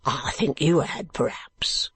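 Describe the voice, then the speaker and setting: A storybook reader puts on a coarse male keeper with a gruff voice. He speaks slowly and bluntly in rough, uneducated phrasing, with a stolid and unsentimental temper. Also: medium pitch, a bit bright, dry recording, whispered, heavily acted. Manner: slow, blunt, uneducated speech.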